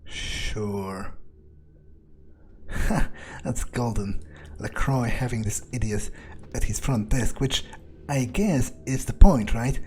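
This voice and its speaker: Slow-talking sardonic man gamer. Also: mid-range voice, darkish tone, slight background noise. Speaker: roleplaying gamer. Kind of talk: in-character game commentary.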